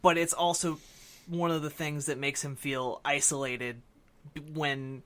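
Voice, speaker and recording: Guy cohosting a podcast, bright forward voice, bit high, casual and kinda searching for words. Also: flat delivery, measured pace. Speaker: podcast co-host. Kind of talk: casual discussion of a film and games.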